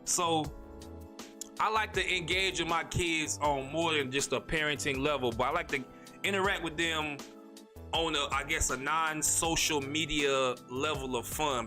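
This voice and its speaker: A man from North Carolina, hosting a casual solo podcast in a rambling, slangy way, talking fast with a stutter. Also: medium pitch, bright, forceful tone. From North Carolina, USA. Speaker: podcast host.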